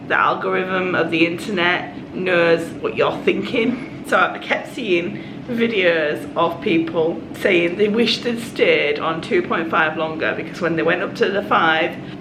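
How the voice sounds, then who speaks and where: A woman, croaky from a cold, chatting in a confiding way to her vlog audience. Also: fairly low pitch. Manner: chatty and confiding, with quick asides. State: hoarse from a cold. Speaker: vlogger. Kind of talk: casual vlog chat. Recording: echoey room with background noise.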